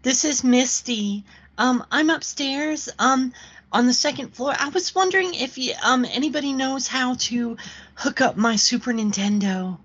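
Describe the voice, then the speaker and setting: A woman voicing a young female prank caller who talks in a breathy, childish baby voice over a muffled phone line. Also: high-pitched, a little slow, a bit bright, exaggerated voice. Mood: coy.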